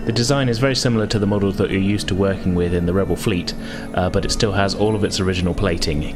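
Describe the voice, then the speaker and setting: Low-voiced male game master over music. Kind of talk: narrating a tabletop roleplaying game.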